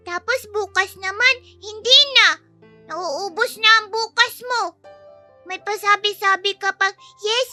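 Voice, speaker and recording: Squeaky, bright little girl. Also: exaggerated voice. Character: bubbly, demanding three-year-old girl, doted-on little princess. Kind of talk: audio storybook character voice.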